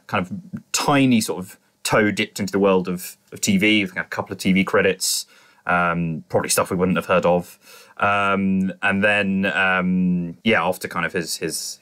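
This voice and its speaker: A man with a low voice speaks as a podcast panellist. His delivery is casual and tentative, feeling his way through each point with frequent qualifiers. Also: a bit bright. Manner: tentative, hedging with kind of and sort of. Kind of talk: casual discussion.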